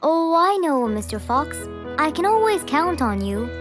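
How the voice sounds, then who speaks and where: A woman's voice: high voice, big pitch swings, measured pace, over music. A woman voicing an earnest, polite, anxious male jungle animal in a children's cartoon. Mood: grateful, subdued.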